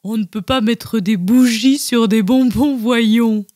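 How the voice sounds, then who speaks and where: A woman voicing a playful, indulgent mother bear in a storybook, lively and fond. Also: on the high side, takes it slowly. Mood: breezy, amused. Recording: music bed under the voice.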